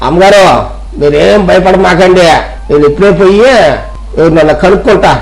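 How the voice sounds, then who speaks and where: A man's voice: high voice, normal speed, gravelly, poor audio. A man voicing a deferential rustic servant in a moral story, eager to help, with colloquial village speech. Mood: reassuring.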